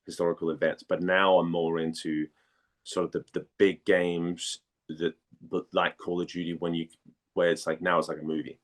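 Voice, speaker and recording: A man from Denmark chatting casually as an interview guest, haltingly searching for words and repeating phrases. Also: deep voice, flat delivery. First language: Danish.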